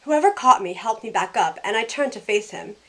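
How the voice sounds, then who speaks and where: A woman's voice: medium pitch, animated, fairly quick, sharp tone. A woman playing a snarky young heroine in an audio drama, narrating in the first person and speaking her lines with wry sarcasm. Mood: wry narration. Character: snarky young woman thrown back aboard the Titanic. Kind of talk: first-person scripted narration and acted dialogue.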